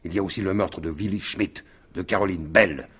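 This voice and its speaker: An actor playing a shrewd, sardonic police commissioner in 1950s radio drama style, theatrical and deliberate. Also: male voice, low-pitched, a bit bright, a little hiss or hum. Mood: sardonic, solemn.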